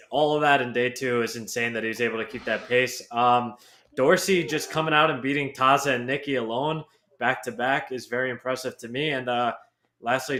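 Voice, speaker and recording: A man's voice: medium pitch, pushed and bright, slight background noise. A man from New York with a Brooklyn accent, talking as a guest in a casual chat, laid-back and plainspoken. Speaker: grappling guest analyst.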